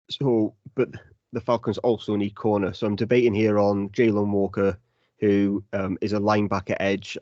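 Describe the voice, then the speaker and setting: A man's voice: fairly low pitch, darkish tone, dry recording. A man on a casual football draft panel, blunt and opinionated. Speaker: draft analyst, co-host. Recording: dry, dull lo-fi audio.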